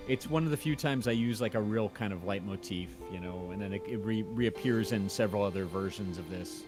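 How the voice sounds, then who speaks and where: Low-voiced man chatting over music. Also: a little fast. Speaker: audio drama creator and podcast host; university audio teacher. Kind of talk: casual chat.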